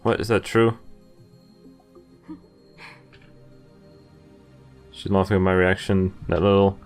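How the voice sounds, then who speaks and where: A man's voice: deep voice, flat delivery, drawn-out words, darkish tone. A young man doing a gaming playthrough, reading text aloud and reacting casually into a close mic. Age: young adult. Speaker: let's player. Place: indoors at a computer, webcam view. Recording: game music behind the voice. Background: game music.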